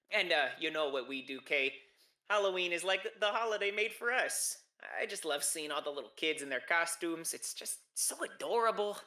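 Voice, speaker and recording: Man doing a gushing Minnesotan grim reaper, bright voice. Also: a little high, animated, moderate pace, echoey room, voice pushed up. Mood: warm, gushing. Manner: chatty, gushing.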